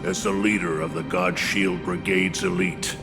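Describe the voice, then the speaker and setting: An actor playing a proud, defiant warrior in a solemn, declamatory video game style. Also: male voice, low voice, little pitch movement, measured pace, vocal fry. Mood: solemn pride. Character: proud, defiant warrior shield-bearer facing death. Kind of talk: dramatic video game acting. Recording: long hall reverb under loud music.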